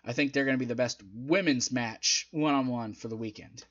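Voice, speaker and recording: A man's voice: medium pitch, brisk, fairly bright tone, highs cut off, slight background noise. A young man giving a casual, opinionated review in an emphatic, conversational way, his throat sounding a little strained. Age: young adult. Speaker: wrestling review host. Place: small indoor home room. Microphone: desk microphone close in front.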